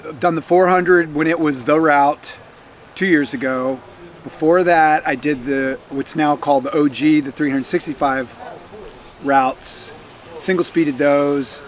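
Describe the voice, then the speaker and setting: This is a man from North Carolina, a bikepacking racer answering an interviewer. He talks in a plain, matter-of-fact way at a middle pitch and goes into detail about routes.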